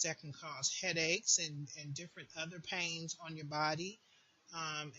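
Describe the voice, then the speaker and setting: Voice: medium pitch, pushed and bright, lo-fi sound, slight background noise. A person giving an informal, earnest advice monologue, repeating phrases for emphasis, as a support group host would.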